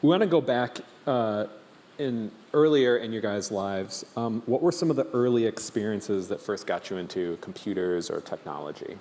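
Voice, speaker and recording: A man's voice: fairly low pitch, animated, normal speed, darkish tone. A man moderating a conference panel, putting questions to guests in a conversational way. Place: conference stage in a large hall. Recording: echoey hall with long reverb.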